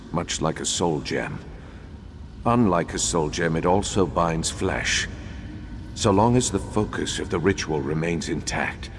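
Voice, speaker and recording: Cursed scholarly war mage, very low pitch, solemn, measured, video game drama, cavernous echo. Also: male voice, creaky, exaggerated voice. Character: remorseful undead imperial battle mage, grave, cursed old scholar. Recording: long hollow reverb, faint background noise.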